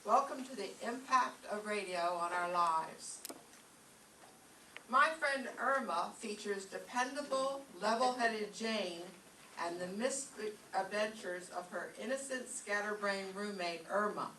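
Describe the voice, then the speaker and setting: Bright woman narrating in an echoey room. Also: medium pitch, slow pace. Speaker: master of ceremonies. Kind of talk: scripted narration of a radio show. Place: live stage show in a room. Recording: echoey room, distant mic, faint noise.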